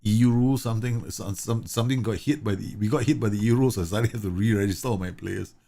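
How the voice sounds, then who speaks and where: Male streamer from Singapore, slightly low-pitched, relaxed running commentary. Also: quick pace, gravelly. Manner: chatty, laughs often, reads game messages aloud. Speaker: gaming streamer. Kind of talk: casual live game commentary.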